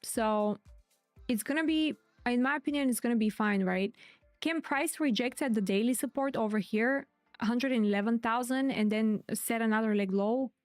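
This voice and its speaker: Higher-voiced woman streaming crypto commentary. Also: normal speed, no echo, studio quality, soft background music. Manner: chatty, repeats key points. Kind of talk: live stream market commentary.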